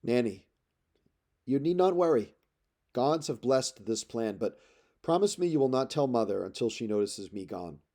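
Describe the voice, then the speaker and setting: A man's voice: mid-range voice, expressive, normal speed, dark tone, natural voice. A man voicing a resolute young prince, earnest and commanding, in an audiobook of an epic. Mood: reassuring.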